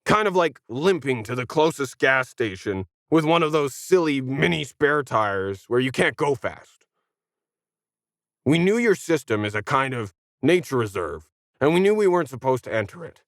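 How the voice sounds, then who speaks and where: A man's voice: medium pitch, sing-song, normal speed, a bit bright, dry recording, clean studio sound. A man voicing a brash, sarcastic tentacled alien who talks down to humans in a science fiction story. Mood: exasperated explaining. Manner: snarky, condescending, slangy. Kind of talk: acted sci-fi storybook dialogue.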